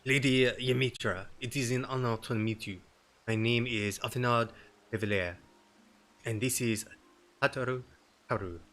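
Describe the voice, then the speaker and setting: Man reading as a bright, courtly fantasy knight. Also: mid-range voice, moderate pace, pauses often, close to an everyday voice. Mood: earnest. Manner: formal, courtly.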